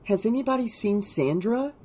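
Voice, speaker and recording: A narrator voicing a worried, tender teenage girl in an audiobook, soft and pleading in manner. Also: medium pitch, animated, moderate pace, voice pushed up, lightly acted. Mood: uneasy, curious. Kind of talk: audiobook character dialogue.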